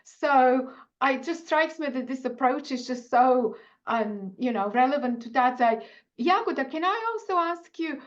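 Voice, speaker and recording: A woman moderates an online literary panel, her voice sitting a little high and sounding slightly muffled over a video call. She asks her questions inquisitively and hedges them as she goes. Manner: inquisitive, hedging with fillers. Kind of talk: round-table webinar discussion.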